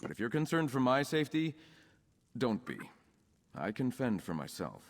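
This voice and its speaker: An actor playing an earnest, composed young nobleman in a video game, speaking in a formal, measured way. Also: mid-range voice, big pitch swings, big-room sound, background noise. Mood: earnest, assured. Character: young nobleman, count's son with druid leanings, earnest and composed. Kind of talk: scripted video game dialogue.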